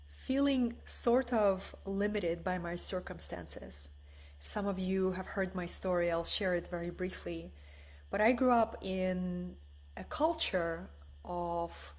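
A woman in her forties, originally from the former Soviet Union, giving a soft, meditative spiritual talk from her living room on a webcam. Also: fairly low pitch. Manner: slow, soft and meditative, often asking "right?". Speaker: spiritual teacher. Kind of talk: live-streamed spiritual talk. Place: bright living room at home, indoors.

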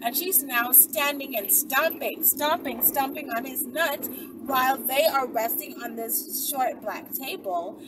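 Young female vlogger, higher-pitched, chatty storyteller; recorded in a car with clear background noise. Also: a little slow. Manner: animated, conversational, frequent checking tags like "right?". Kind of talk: casual chatty storytelling to viewers. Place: inside a car in daylight. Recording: distant mic, noisy car interior.

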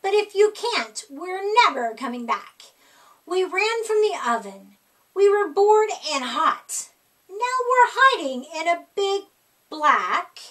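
A woman's voice: very high-pitched, big pitch swings, takes it slowly, fairly bright tone, voice pushed up, full character voice. A woman voicing cheeky, boastful runaway gingerbread cookies, teasing in sing-song storybook rhyme. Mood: taunting.

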